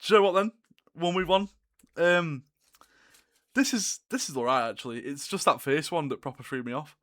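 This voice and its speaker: A man's voice: fairly high pitch, normal speed, a bit bright. A young man with a Scouse-tinged Northern English accent, co-hosting a casual football podcast in a laid-back, agreeable way and laughing often. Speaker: podcast co-host.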